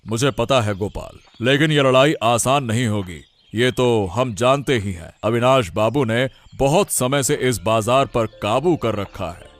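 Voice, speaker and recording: A man voicing a cautious, loyal village man who talks in a careful, measured way. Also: mid-range voice, natural voice. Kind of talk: cartoon story voice acting.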